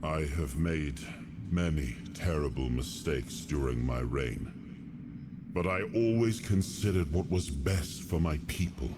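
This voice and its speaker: An actor playing a weary, stern and regretful king in a video game, speaking in a measured, solemn way. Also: very deep voice, lively intonation, noisy background. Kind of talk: dramatic video game cutscene acting. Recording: loud music behind the voice.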